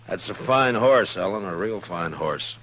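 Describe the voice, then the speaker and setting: An actor playing a middle-aged, laconic frontier lawman in a 1950s radio western, speaking in a measured, patient way. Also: low-pitched, expressive, fairly bright tone, full character voice. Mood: steady, firm. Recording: faintly noisy old radio recording.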